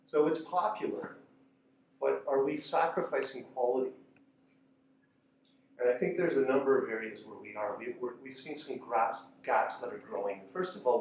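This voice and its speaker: A man's voice: mid-range voice, darkish tone, a little hiss or hum. Guy giving a talk with slides, lots of uhs, far from the mic, big roomy echo. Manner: conversational, with filler "uh" and restarts. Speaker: conference speaker. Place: lecture room. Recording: echoey room from far off.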